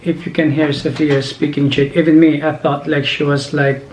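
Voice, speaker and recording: A man's voice: a little high, flat delivery, moderate pace, darkish tone. A man with a Filipino accent chatting casually at home about his family, rambling along with many restarts. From Philippines. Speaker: father and channel host. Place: home room. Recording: echoey room with faint noise.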